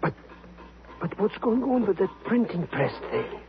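High-pitched, excitable radio sidekick. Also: male voice, unhurried. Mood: nervous. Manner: slangy, eager, theatrical. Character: excitable, nervous male comic informant and sidekick. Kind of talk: 1950s radio drama acting. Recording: muffled old radio broadcast.

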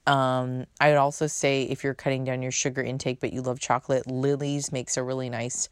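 Woman, podcast host, very deep voice, soothing, chatty, unfiltered, dry close sound. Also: level tone, brisk, clear, resonant tone, dry recording. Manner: chatty, unfiltered, swears casually. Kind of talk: casual solo podcast chat.

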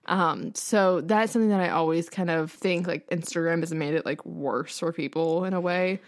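A young woman co-hosting a casual podcast, chatting quickly and brightly, full of "like" and laughter. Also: lowish voice, no echo, clean studio sound. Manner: fast, bubbly, filler-heavy talk with lots of laughing. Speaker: podcast co-host. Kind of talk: casual chat.

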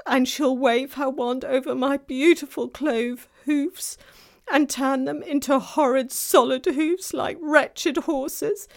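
Lady doing a sorry little male fawn from a storybook, fretful and guilty, high voice, slow and dramatic. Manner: anguished, self-reproaching, melodramatic. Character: guilt-ridden male fawn, gentle, tearful, fearful. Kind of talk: dramatized children's storybook reading.

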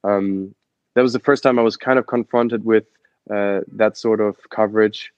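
Low-voiced man with a German accent. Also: fairly even intonation, dry recording, highs cut off. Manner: halting, many fillers and restarts. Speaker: guest, adventure photographer and expedition guide. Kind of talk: casual interview storytelling.

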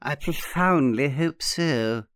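A man's voice: on the high side, animated, a bit bright, dry recording, clean studio sound. A man voicing a sly, theatrical old aunt in an audiobook, suave and falsely sweet with a mocking edge. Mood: suave mockery. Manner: suave, smooth, drawn-out delivery with satirical edge. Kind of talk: dramatic audiobook character reading.

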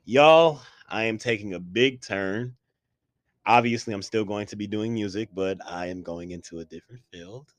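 A young man of about twenty co-hosting a podcast in a studio, talking casually and calling out to his listeners with excitement. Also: lowish voice, normal speed, no echo. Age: young adult, about 20. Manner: hyped, addresses listeners directly. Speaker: podcast co-host.

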